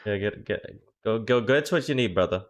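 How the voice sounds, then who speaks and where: A man's voice: on the low side, expressive. Profane joking young male streamer. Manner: profane, joking, quick reactions. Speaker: streamer and coach. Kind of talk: casual livestream chat.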